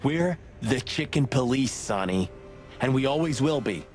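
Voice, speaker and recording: A man as a lively wisecracking rooster cop. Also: mid-range voice, measured pace, faint music behind, natural voice. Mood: determined. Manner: wisecracking, expressive, blustery. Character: loyal wisecracking male rooster cop, hot-headed sidekick. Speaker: detective's partner. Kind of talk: film noir game voice acting.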